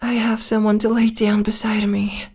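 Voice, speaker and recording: A woman voicing a possessive, adoring vampire lover in an intimate ASMR roleplay, with a breathy whisper and a slow, tender, lingering delivery. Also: mid-range voice, flat delivery, a little mellow. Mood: devoted longing.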